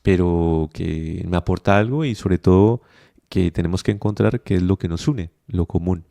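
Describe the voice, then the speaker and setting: A young adult man with a Colombian accent, interviewed on a podcast. His low voice has a gravelly edge and a dark, mellow color. He talks in a reflective, unhurried way, thinking aloud with fillers and restarts. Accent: Colombian Spanish. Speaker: podcast guest, migrant. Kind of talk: conversational interview.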